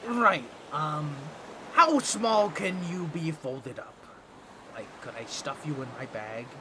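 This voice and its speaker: A man's voice: fairly high pitch, lively intonation, slow pace, noisy background. A man voicing a boastful, scheming octopus merman with a grating, nasal, squawky voice and a pompous, awkward manner in a comedic audio roleplay. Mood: flustered, awkward. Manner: theatrical, pompous, fumbling with awkward asides.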